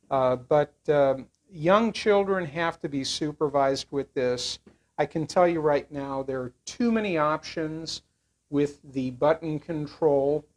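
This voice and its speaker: An older man giving a casual, unscripted product demonstration, talking in a halting, rambling, matter-of-fact way. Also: fairly high pitch. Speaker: product reviewer.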